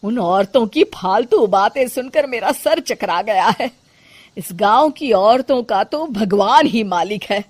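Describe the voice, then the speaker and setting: A woman's voice: fairly high pitch, lively intonation, a little slow. A woman voicing a fussy middle-aged city mother-in-law who complains in a theatrical way. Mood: complaining.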